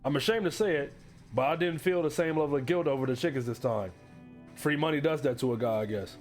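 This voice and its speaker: A man's voice: fairly high pitch, quick pace, soft background music, lightly acted. An actor playing a broke, desperate divorced man who narrates his analog horror story in a resigned, confessional, wry way.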